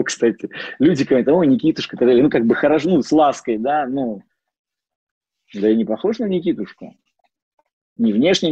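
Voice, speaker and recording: A middle-aged man giving an informal lecture as a psychologist, mixing in personal stories and pausing often on fillers and restarts. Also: medium pitch, dark tone, husky, no room sound. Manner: hesitant, many fillers and restarts. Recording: dull lo-fi dry sound.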